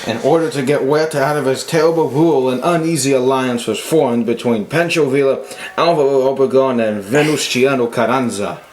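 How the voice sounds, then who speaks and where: A man's voice: medium pitch, brisk, few pauses, darkish tone. A man working as narrator, reading a scripted amateur history account. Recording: echoey room with faint noise.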